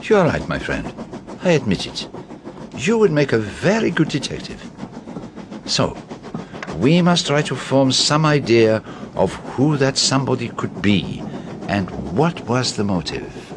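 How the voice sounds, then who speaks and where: An actor playing a shrewd, courteous detective with a French-speaking European accent and formal, deliberate, probing phrasing in a radio drama. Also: male voice, medium pitch, big pitch swings, background noise. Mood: thoughtful. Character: shrewd, courteous foreign male private detective, probing and precise.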